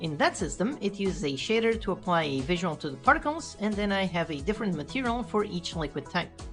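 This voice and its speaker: A woman's voice: lowish voice, sing-song, a little fast, a bit bright. A woman narrating a scripted game development video in an explanatory manner, as a host and developer. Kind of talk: scripted tutorial devlog narration. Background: background music.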